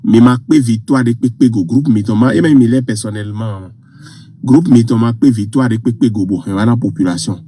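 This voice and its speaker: A man phoning in to a live show, hoarse from a cold, speaking as a Goun speaker, rambling and halting with many fillers. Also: medium pitch, mellow, slight background noise. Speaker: caller.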